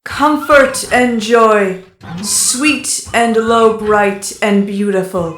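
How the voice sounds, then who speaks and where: A woman voicing a false angel that lures souls with slow, grandiose, loud chanting. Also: mid-range voice, reverberant, slight background noise, rough recording. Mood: grand, beckoning. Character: false angel soul trapper, grandly luring.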